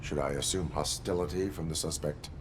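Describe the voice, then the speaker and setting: An actor playing a stoic cyborg police officer in a video game, speaking in a terse, formal deadpan. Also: male voice, noisy background. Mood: deadpan, resolute. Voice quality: low, measured. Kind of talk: video game cutscene acting.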